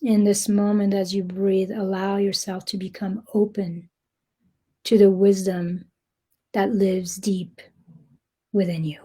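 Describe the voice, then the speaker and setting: A woman guiding a meditation in a soft, breathy, gentle voice, speaking deliberately with long pauses. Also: mid-range voice, level tone. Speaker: meditation guide. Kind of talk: guided meditation, slow soothing narration.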